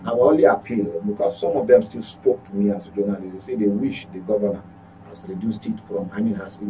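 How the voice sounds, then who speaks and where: Nigerian guy doing political talk show commentary, lowish voice, emphatic and self-correcting, bit of hum in the background. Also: brisk, dark tone. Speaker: journalist, guest commentator. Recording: clearly noisy with electrical hum.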